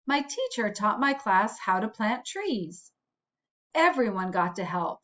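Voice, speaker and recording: A woman school principal reading a picture book aloud to children in an expressive, deliberate, teacherly way, with asides to her listeners. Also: medium pitch. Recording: dull, lo-fi recording.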